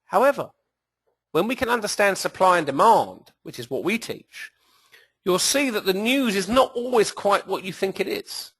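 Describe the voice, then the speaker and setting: A man's voice: sits high, animated, moderate pace, fairly bright tone, slightly rough, no room sound. British man presenting a trading webinar. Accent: British English. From England. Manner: enthusiastic, emphatic, frequent fillers.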